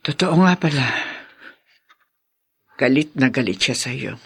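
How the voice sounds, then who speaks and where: A Filipino woman playing an older, guilt-troubled mother with a husky voice in a radio drama. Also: extremely low pitch, expressive, unhurried, full character voice. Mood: regretful.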